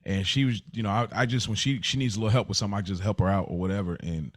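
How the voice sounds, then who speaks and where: A man with a fairly low voice hosts a casual podcast. He talks reflectively and haltingly, with an encouraging manner. The recording is dry, with very little room sound. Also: steady tone, no echo. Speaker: podcast host.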